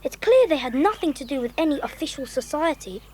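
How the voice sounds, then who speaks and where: A woman's voice: sits high, big pitch swings, a little fast, darkish tone. An actress playing a sensible, polite, well-spoken boy who leads young adventurers in a children's audio drama. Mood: puzzled, earnest. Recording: clear background noise with birdsong.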